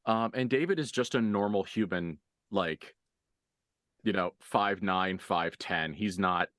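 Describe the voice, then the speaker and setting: A man working as game master, improvising narration for a tabletop roleplaying game with deliberate, halting phrasing and dramatic emphasis. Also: sits low, fairly bright tone, no echo. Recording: dry voice over background music.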